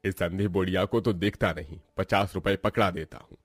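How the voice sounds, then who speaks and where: Man playing a low-voiced, greedy, scolding landowner. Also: steady tone, normal speed, breathy edge, no room sound, soft background music. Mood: contemptuous, greedy. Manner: scolding, dismissive, haughty. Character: greedy, callous rich landowner bossing his farm labourers. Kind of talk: Hindi cartoon story voice acting.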